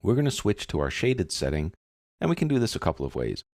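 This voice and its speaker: A man narrating a software tutorial as an instructor, explaining step by step in a casual way. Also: sits low, wildly swooping pitch, fast talker, gravelly, no echo, clean studio sound.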